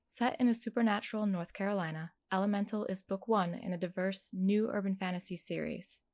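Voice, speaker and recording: A woman delivers scripted promotional narration in a medium-pitched voice. The sound is dry, with no room around it, as an announcer reading an advert. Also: a little fast, darkish tone, no echo.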